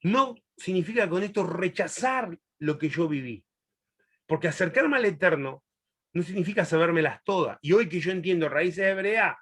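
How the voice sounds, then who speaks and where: A man's voice: high voice, expressive. Chatty Chilean-born man teaching Bible class. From Chile, raised in Argentina. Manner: warm, chatty, laughs often, long pauses. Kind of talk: answering a student in a live class.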